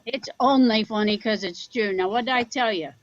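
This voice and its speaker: A woman's voice: fairly high pitch, moderate pace, dry recording, dull audio, natural voice. A woman playing a plain-spoken rural Midwestern mother in an audio drama, dry, folksy and teasing with her grown son. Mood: wry teasing. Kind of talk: audio drama acting, conversational.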